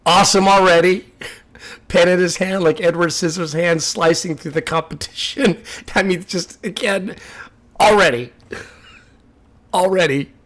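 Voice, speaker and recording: A man hosting a music reaction, talking casually with a slightly raspy voice from a sore throat, laughing often and stopping the track to comment. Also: high-pitched, measured pace, pauses often, fairly bright tone.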